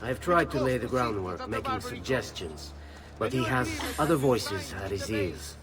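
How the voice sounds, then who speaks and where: An actor playing a sly, nervous government official in a video game, smug and then defensive. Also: male voice, fairly low pitch, expressive, moderate pace, natural voice. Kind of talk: video game cutscene acting.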